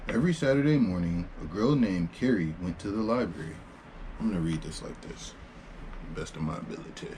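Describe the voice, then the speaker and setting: Male storyteller, low relaxed voice, informal unpolished read-aloud of kids' books, some background noise. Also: brisk. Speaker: storyteller reading children's picture books. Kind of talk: read-aloud narration with casual asides.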